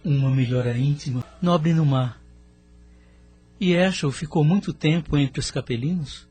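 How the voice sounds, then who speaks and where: A man's voice: a little high, unhurried, a little mellow, slightly rough, soft background music. A man playing a respectful, curious pupil in an audio drama, asking in a formal, inquisitive way. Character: respectful curious male pupil questioning his mentor.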